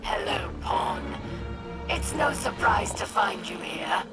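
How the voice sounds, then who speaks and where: Game villain, imperious alien warlord, defiant and theatrical, slow delivery under heavy music. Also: female voice, medium pitch, animated. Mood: scornful taunting. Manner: theatrical, measured, menacing, dramatic pauses. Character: proud, vengeful alien warlord woman, cold and defiant. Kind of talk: scripted video game acting.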